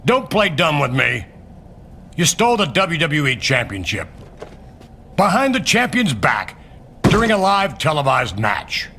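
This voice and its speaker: A man's voice: fairly high pitch, huge pitch swings, unhurried, pauses often, bright, forceful tone, a little hiss or hum, exaggerated voice. A man playing a domineering, menacing wrestling company boss in a video game, commanding and sardonic. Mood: stern, threatening. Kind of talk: scripted video game cutscene acting.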